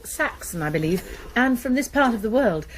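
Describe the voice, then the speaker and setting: A woman's voice: fairly high pitch, animated, moderate pace, a little hiss or hum, lightly acted, rough recording. A woman playing a forthright college nurse in a radio drama, speaking politely and directly. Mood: polite, inquiring.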